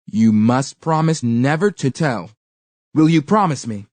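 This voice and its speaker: A woman's voice: rumbling low, expressive, measured pace, a little mellow, no echo, heavily acted. A woman voicing a clever, sweet-spoken village girl from a storybook, kind but firm as she instructs. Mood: firm bargaining.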